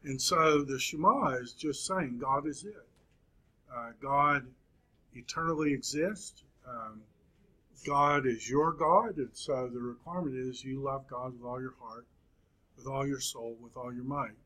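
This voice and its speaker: A man in his fifties teaching a Bible class, speaking slowly with frequent pauses and fillers. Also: mid-range voice, bright, forceful tone, poor audio. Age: 50s. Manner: slow, halting, many fillers and pauses. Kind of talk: classroom lecture.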